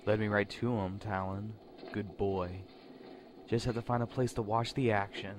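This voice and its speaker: A man's voice: on the low side, level tone, moderate pace, background noise, natural voice. A man playing a cocky, sneering henchman in a superhero fan dub, taunting with tough-guy menace. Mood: smug. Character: sneering, cocky male henchman and bird handler.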